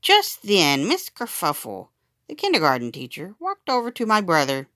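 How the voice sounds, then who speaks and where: A woman reading a children's book aloud in an expressive, dramatic storytime style. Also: lowish voice, moderate pace, dry recording, studio quality. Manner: animated, dramatic. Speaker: storytime reader.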